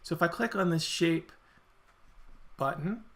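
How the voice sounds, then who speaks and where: A man walking through a software feature in a screen-recorded demo, explaining step by step, his voice a little worn as he clears his throat. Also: a little high, a little slow. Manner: relaxed explanatory walkthrough with self-corrections. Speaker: software product presenter.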